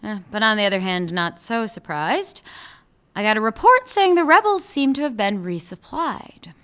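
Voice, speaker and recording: A woman's voice: medium pitch, animated, moderate pace, pushed and bright. A woman acting a dry, sarcastic police chief in a radio play. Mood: guarded. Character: sardonic female police chief.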